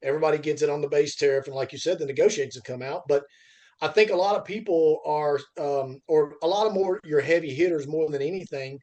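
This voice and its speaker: A man's voice: on the high side, fairly quick, dark tone, dull audio. A middle-aged man chatting casually as a podcast host, rambling and often circling back over his phrases. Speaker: podcast host, freight industry veteran.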